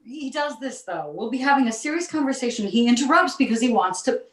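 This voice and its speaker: A woman's voice: a little high, lively intonation, fairly quick, lightly acted. Woman acting an exasperated mom, echoey and distant. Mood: weary. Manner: exasperated, protesting. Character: exasperated 35-year-old mother of a talking baby. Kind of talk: live table read of an animated comedy script.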